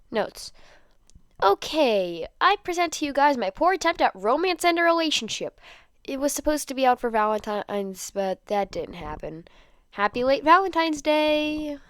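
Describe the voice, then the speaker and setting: A woman narrating a story in an expressive amateur reading style, now and then stumbling and repeating a word. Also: medium pitch, no echo. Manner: stumbles over words and rereads them. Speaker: podfic narrator.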